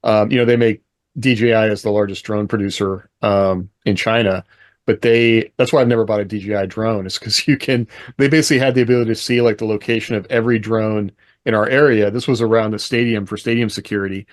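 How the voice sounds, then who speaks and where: A man with a fairly low voice talks as a podcast co-host in a casual discussion. The sound is very dry, and he speaks informally, working out his thoughts as he goes. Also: fairly even intonation, fast talker, no room sound.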